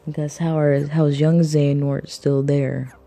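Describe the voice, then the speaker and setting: Casual fan vlogger, woman, raspy and gravelly, hesitant, drifts off topic. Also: sits low, little pitch movement, moderate pace, warm tone, faint music behind. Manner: thinks aloud, hesitant, jumps between topics. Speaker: video game fan vlogger. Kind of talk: rambling casual monologue.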